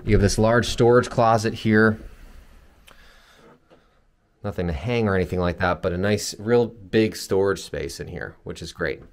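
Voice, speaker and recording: A man with a fairly low voice narrates a house tour off the cuff. He explains features informally, like a builder's representative showing a client around. His voice carries long reverb from the empty interior. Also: normal speed, pauses often. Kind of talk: casual unscripted walkthrough narration. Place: empty new house, indoors.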